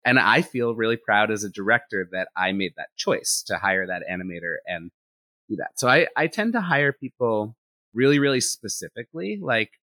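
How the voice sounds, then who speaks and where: A man speaks in a low, bright voice in a dry, close recording. He is talkative and thinks out loud, leaning on "like" as he explains his work as an animator and director in a relaxed interview. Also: normal speed, no room sound. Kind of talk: casual interview conversation.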